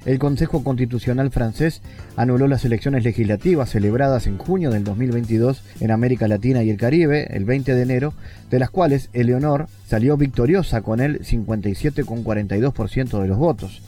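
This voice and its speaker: A man's voice: mid-range voice, brisk, dark tone, soft background music. A man hosting an interview, reading a formal introduction aloud before asking the guest questions. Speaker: interviewer, host.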